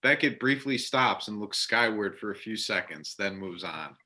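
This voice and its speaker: Bright-voiced male narrator. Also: lowish voice, animated, normal speed. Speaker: narrator reading stage directions. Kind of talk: scripted narration, amateur readers theater.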